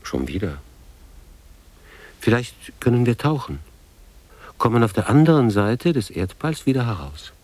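An actor playing a gruff, weary man in a radio drama, muttering tersely as he humors a child. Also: medium pitch, sing-song, unhurried, pauses often, darkish tone, dry recording. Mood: subdued, brooding.

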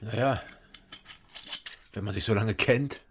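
An actor playing a terse, guarded, world-weary East German investigator in a radio crime drama, with a German accent. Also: male voice, fairly low pitch, takes it slowly, slight background noise.

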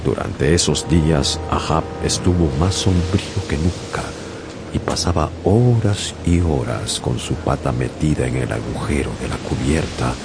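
A seafaring narrator in a radio drama. His extremely deep voice tells the tale gravely, with music running underneath. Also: unhurried. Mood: grave, suspenseful. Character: male sailor narrator recalling a doomed whaling voyage.

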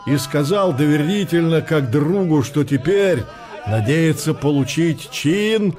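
A man reading literary narration in an unhurried, characterful storytelling manner. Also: fairly high pitch, animated, a little mellow, soft background music. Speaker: narrator of an audio drama.

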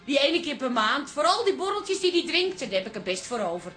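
A woman's voice: high-pitched, very animated, brisk, a bit bright, soft background music. An actress playing a stubborn, sarcastic café landlady in a radio comedy, blunt. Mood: weary. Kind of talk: radio comedy drama acting.

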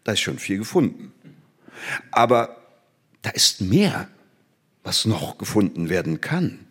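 An actor playing an enthusiastic mathematics teacher, declamatory and didactic, in a theatrical drama. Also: male voice, on the low side, sing-song, measured pace, fairly bright tone, husky, heavily acted. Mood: visionary, enthusiastic. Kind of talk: theatrical scripted performance.

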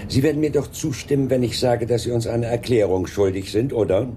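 An actor playing a sardonic, self-assured police inspector in a German radio crime drama, dryly and with ironic courtesy. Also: male voice, mid-range voice, a little fast, mellow, slight background noise, natural voice. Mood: mocking.

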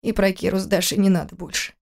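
A man's voice: sits high, fairly even intonation, fairly quick, gravelly, a little mellow. An audiobook character, a grown man in anguish voiced by a woman narrator. He sounds bitter and broken by grief, with a pained, pleading way of talking.

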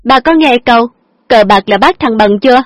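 A woman voicing a hot-tempered poor young woman who scolds her father in quick, shrill retorts. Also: a bit bright, no room sound, clean studio sound. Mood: angry scolding.

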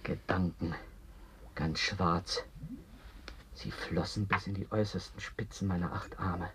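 An actor playing a brooding, probing octopus in a German radio play, with solemn, insistent theatrical delivery. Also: male voice, low voice, steady tone, unhurried, fairly bright tone, a little hiss or hum. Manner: theatrical, solemn, insistent, dropping to near whispers. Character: brooding octopus with eight arms, self-confessed freak, probing interrogator. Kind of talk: German radio play acting, literary dialogue. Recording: dull, muffled radio drama recording.

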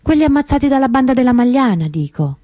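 An actress playing the grieving, bitter sister of a kidnapped girl in a radio monologue, measured and emphatic. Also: high-pitched, big pitch swings, mellow, no echo, studio quality. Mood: indignant, grieving.

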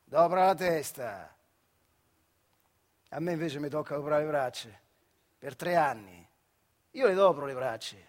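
High-voiced Marche working man telling his life. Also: lively intonation. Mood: wry reminiscing. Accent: central Italian, Marche dialect. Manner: colloquial, emphatic, conversational with dramatic pauses. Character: Adriano, working-class Italian factory worker recalling his life, wry and earthy. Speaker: stage actor performing a monologue. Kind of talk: theatrical radio monologue, colloquial storytelling.

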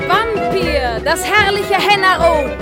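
A gushing radio-play advertising lady over loud music. Her voice is extremely high and she draws out each theatrical slogan slowly. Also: expressive, a loud crowd, full character voice. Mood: gushing, grand. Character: gushing advertising lady praising a hair dye.

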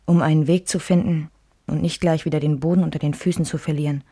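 A woman's voice: sits low, fairly even intonation, fast, dark tone, husky. An actress playing a wry, reflective woman recalling a love triangle, narrating in the first person in a German radio drama. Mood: pensive.

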